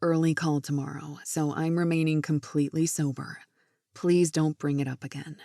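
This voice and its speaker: A woman voicing a sober, businesslike man with a low, measured, firm tone in an audiobook. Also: flat delivery, warm tone, dry recording, studio quality. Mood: calm, firm.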